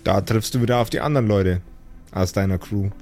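A man's voice: fairly low pitch, brisk, darkish tone, faint music behind. A man acting as game master, explaining an improvised role-playing story.

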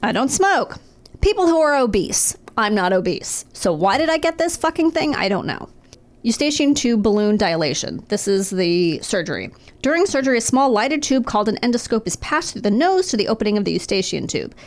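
A woman's voice: a little high, brisk. Wry, swearing woman reading medical notes. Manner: wry, profane, deadpan asides while reading. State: recovering from nose and throat surgery. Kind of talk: casual chat, reading medical info aloud.

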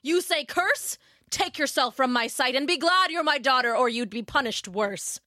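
Lady doing a greedy king for a storybook, pompous n theatrical, high bright forceful voice. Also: moderate pace, dry recording, clean studio sound. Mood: indignant, boastful. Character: proud, greedy king, boastful and anguished father.

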